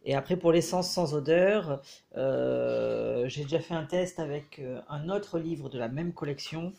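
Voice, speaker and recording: A man with a head cold giving a casual, hesitant review of a book as he leafs through it, pausing often. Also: on the high side, creaky, mellow. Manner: hesitant, frequent filler pauses.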